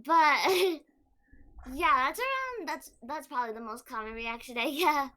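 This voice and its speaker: A woman's voice: sits high, animated, a little slow, a crowd in the background. A young woman chatting as a podcast guest, giggling often between phrases. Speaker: guest streamer. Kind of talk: casual podcast chat.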